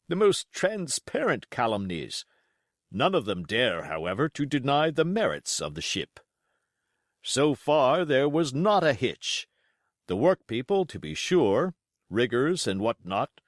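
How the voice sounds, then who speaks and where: Man as storybook country squire, pompous, excitable, blustering, extremely animated pitch, dry sound. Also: no echo. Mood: boastful, enthusiastic. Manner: emphatic, blustering, theatrical. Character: pompous, excitable, gullible English country squire writing a letter.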